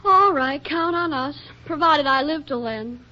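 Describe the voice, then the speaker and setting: Harried young American housewife, high-pitched, wry, brittle; vintage radio comedy, dull old recording. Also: female voice, a little slow, pushed and bright. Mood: exasperated. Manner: wry, dramatically exasperated, brittle politeness. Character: harried, jealous young housewife and mother, sharp-tongued. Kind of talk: 1940s radio comedy acting. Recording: dull, band-limited 1940s radio recording.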